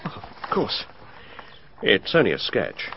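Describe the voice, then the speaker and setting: An actor playing a self-absorbed, dryly amused artist in a radio drama, with a British accent and a casual, detached manner. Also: male voice, fairly low pitch, huge pitch swings, unhurried, vocal fry, heavily acted. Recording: dull lo-fi radio recording with faint noise.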